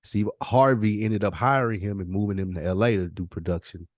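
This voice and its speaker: A man's voice: lowish voice, fairly even intonation, a little fast, no room sound, clean studio sound. A man hosting a casual podcast conversation, speaking in slang and repeating phrases for emphasis. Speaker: podcast host.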